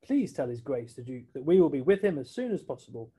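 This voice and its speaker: A courteous, dignified giraffe from a children's storybook, speaking calmly and properly, as a man reading aloud puts him on. The tone is mellow and slightly husky. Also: mid-range voice. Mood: polite. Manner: courteous, measured.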